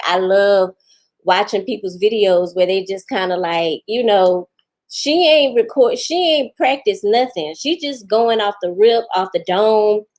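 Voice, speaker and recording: Woman, 40s, casual live-stream chat, laughs a lot, middle pitch. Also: a little mellow. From Houston, Texas. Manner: chatty, laughs often, talks back to viewers. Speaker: vlogger answering live chat.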